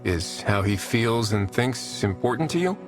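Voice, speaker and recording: An actor playing a steady, plainspoken male hero in a video game, calm and direct. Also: mid-range voice, unhurried, over music, close to an everyday voice. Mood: calm, supportive. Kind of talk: video game cutscene acting.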